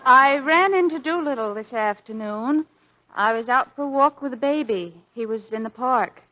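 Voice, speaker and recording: A young wife in a vintage radio play. Her voice sits high, earnest and encouraging, as she coaxes with devotion. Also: normal speed, bright, forceful tone, lo-fi sound. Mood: gentle, concerned. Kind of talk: 1940s radio drama acting. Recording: vintage 1940s radio broadcast.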